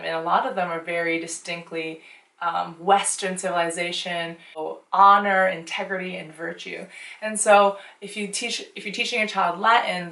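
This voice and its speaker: A woman's voice: lowish voice, vocal fry, a bit bright. A woman from the United States whose first language was Chinese, giving earnest parenting advice in a casual vlog, with frequent ums. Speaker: parent and show host. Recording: roomy echo, mic at some distance.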